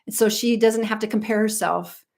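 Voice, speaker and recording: A woman's voice: mid-range voice, steady tone, moderate pace. Chatty American woman vocal coach. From United States. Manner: chatty, rambling, frequent ums and restarts. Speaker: vocal coach, livestream host. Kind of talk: casual live chat reading viewer comments.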